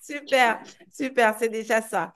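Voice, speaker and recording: A woman's voice: fairly high pitch, fairly even intonation, unhurried, fairly bright tone. A woman coach answering questions on a group video call, often repeating words and restarting her sentences as she reassures. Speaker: health and weight-loss coach.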